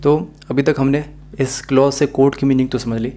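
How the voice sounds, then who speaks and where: A man's voice: medium pitch, fairly even intonation, a little fast, warm tone. A man with a Pakistani accent giving a methodical, explanatory video lecture as a law teacher, mixing in English phrases.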